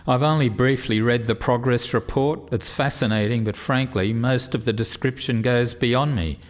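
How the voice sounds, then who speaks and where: An actor playing a frank, inquisitive alien official in a radio play, speaking in a measured, formal way. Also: male voice, mid-range voice, natural voice. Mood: curious. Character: male alien official, curious, frank non-technical visitor.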